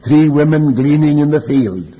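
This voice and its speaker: Elderly preacher, muffled in a reverberant hall. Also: male voice, fairly high pitch, lively intonation, husky. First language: Welsh. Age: older, elderly. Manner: deliberate, reflective, with rhetorical questions and repeated phrases. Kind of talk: Bible teaching sermon.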